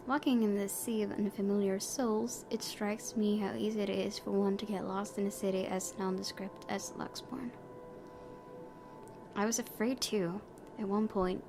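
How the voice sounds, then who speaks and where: A woman streamer reads a visual novel's story aloud. Her pitch is average and she sometimes trips over words, slipping into casual commentary. Clear background noise sits behind her voice. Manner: stumbles over words while reading. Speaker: let's play streamer. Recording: clearly noisy home recording.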